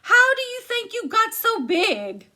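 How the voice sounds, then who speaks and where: A woman voicing a bossy giant wife who scolds in a shrill voice. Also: big pitch swings, a little slow, bright, forceful tone, full character voice. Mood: scolding.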